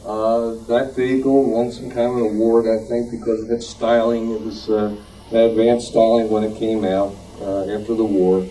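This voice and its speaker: Male club presenter, relaxed tone, meandering informal delivery, distant mic with some room noise. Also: on the low side, normal speed. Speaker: club member presenting a collectible. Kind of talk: informal show-and-tell presentation.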